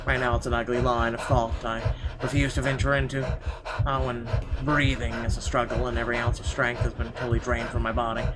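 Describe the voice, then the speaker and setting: A man hosting a let's-play, reading visual novel narration aloud in an expressive, dramatic way. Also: medium pitch, low-quality recording. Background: game music.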